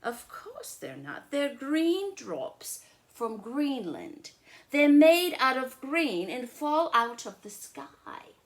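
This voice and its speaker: A high, very animated big-brother boy, acted out by a woman reading a storybook. He explains things patiently with crafty, playful coaxing. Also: slow, exaggerated voice.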